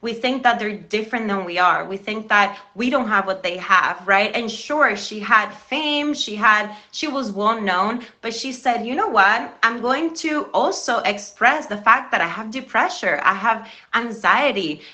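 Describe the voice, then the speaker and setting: Bright, earnest woman hosting a live stream. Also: medium pitch, lively intonation, measured pace, few pauses. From Cuba. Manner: earnest, encouraging, addresses viewers directly. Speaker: live stream show host. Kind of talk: motivational live-stream talk.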